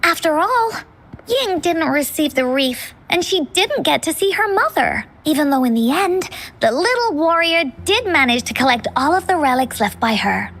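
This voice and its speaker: A woman voicing a chirpy, imaginative little girl storyteller in a video game, with a childlike, expressive delivery. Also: high voice, moderate pace, heavily acted. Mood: pensive. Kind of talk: scripted video game dialogue.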